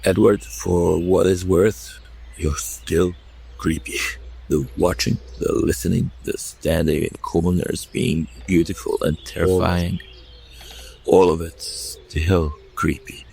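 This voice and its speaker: A man playing a blunt, brooding young werewolf in a whispered audio drama, talking in slow, hushed tones. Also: sits low, fairly even intonation, creaky, husky, full character voice, poor audio. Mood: wry.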